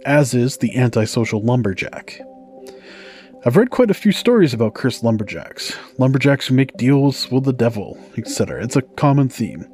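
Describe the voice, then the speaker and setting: A man's voice: medium pitch, a little mellow. A man hosting a podcast, narrating folktales from a script in a storytelling style. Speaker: podcast host. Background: music.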